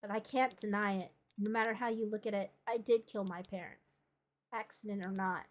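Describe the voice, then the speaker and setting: A woman's voice: medium pitch, fairly even intonation, moderate pace, close to an everyday voice. Woman playing an anguished, emotional young woman. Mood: ashamed, hesitant. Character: anguished, guilt-ridden young woman, bitter and ashamed. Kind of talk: dramatic visual novel reading.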